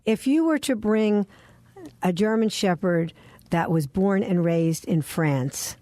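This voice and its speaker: A woman's voice: medium pitch, slow pace, dark tone, dry recording. A woman hosting an interview, asking chatty, playful questions. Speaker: interview host. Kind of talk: radio interview.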